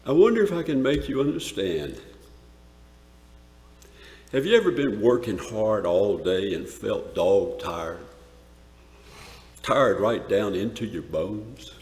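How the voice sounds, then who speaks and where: Man playing an awestruck shepherd, echoing church hall. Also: lowish voice. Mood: hushed, weary wonder. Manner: emphatic, dramatic pauses, swells from hushed to shouted. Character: awestruck rough-living male shepherd recalling a wondrous night. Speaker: dramatic reader. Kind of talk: theatrical dramatic monologue reading. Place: large church, live performance. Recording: echoey church hall, long reverb.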